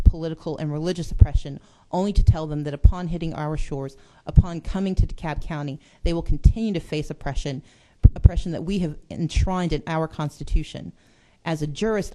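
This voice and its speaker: A woman's voice: sits low, dark tone, low-quality recording. A woman legislator giving an earnest, persuasive speech in a committee hearing. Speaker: state legislator.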